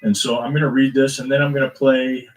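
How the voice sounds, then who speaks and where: A man's voice: mid-range voice, level tone, a little fast, poor audio. A man hosting an online show, addressing his viewers earnestly before reading them a letter. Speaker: channel host.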